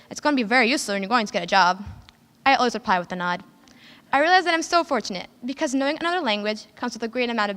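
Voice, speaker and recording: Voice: mid-range voice, fairly bright tone. An early-teen student whose first language is Lebanese Arabic, giving an earnest, prepared speech at school with a few careful restarts. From United States. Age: early teens. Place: school hall. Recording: long hall reverb.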